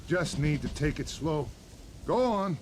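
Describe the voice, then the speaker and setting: A man's voice: on the high side, lively intonation, a little slow, background noise. A man playing a stoic, terse, plain-spoken trail guide in a western video game. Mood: pained, stoic. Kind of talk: video game character acting. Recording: game audio with loud music behind. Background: music.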